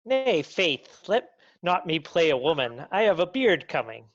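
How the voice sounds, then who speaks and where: Woman doing young reluctant tradesman, lowish but animated, shakespeare comedy, dull call audio. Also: a little slow, exaggerated voice. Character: Francis Flute, a reluctant young male bellows mender. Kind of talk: Shakespeare table read over video call.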